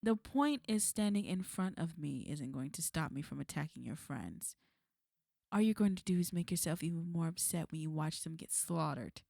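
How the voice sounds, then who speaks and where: A woman playing a smug, menacing villain in an ASMR roleplay, taunting and threatening in a cool, unhurried way. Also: low-pitched, expressive, gravelly, warm tone, dry recording, clean studio sound, full character voice. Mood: firm, coaxing. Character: smug, menacing female villain, fond of an enemy.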